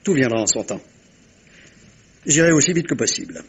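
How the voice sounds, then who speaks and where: An actor playing a shrewd, self-assured middle-aged detective in a French radio mystery, commanding and theatrical, speaking with deliberate dramatic pauses. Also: male voice, medium pitch, very animated, creaky, slightly rough, heavily acted. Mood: courteous, commanding. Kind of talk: 1950s-style French radio mystery acting.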